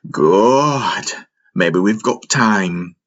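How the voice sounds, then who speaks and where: A man playing a gruff, joking, hot-headed spaceship pilot with rough, folksy old-salt talk in an audio drama, over music. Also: on the low side, animated, drawn-out words, a bit bright, lo-fi sound, exaggerated voice. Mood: grim, wry. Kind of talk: audio drama character acting.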